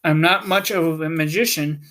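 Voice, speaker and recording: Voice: medium pitch, moderate pace, fairly bright tone, lightly acted. A reader voicing a meek, kindly little man who is a humbug wizard, apologetic and reassuring, in a storybook. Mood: measured. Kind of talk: storybook character reading.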